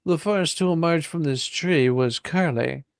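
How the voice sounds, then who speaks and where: Male live reader, hammy and comedic storybook delivery, fry-edged voice, dry close sound. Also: a little high, unhurried, dry recording. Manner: hammy, ad-libs folksy drawling turns of phrase. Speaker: storyteller reading a book aloud to a live audience. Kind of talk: dramatic comedic reading of a children's adventure story.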